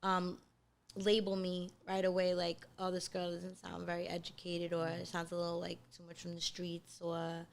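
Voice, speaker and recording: Clear-voiced Brooklyn woman, streetwise and emphatic. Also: lowish voice, monotone, moderate pace. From South Brooklyn, New York. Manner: streetwise, emphatic, slangy, swears freely. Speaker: podcast guest, interviewee. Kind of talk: casual interview chat.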